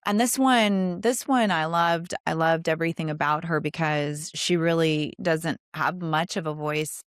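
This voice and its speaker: Female interviewer, smooth and resonant, close dry sound, conversational and enthusiastic about the subject. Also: deep voice, unhurried, no room sound. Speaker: podcast host and interviewer.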